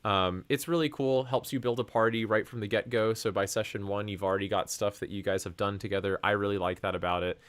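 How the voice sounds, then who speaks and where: A man with a fairly low voice hosts his stream and talks loosely, rambling and restarting his sentences. The sound is close and dry. The tone is informal. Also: fairly even intonation, quick pace, hardly any pauses, a bit bright, dry recording. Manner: rambling, full of fillers and restarts. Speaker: streamer and host. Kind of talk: casual chat.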